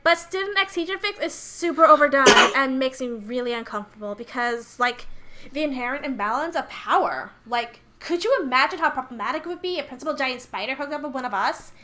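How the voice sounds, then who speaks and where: A woman streaming a game, reading its text aloud and chatting in an expressive, giggly way. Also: sits high, a little fast, a little hiss or hum. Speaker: streamer playing a dating sim.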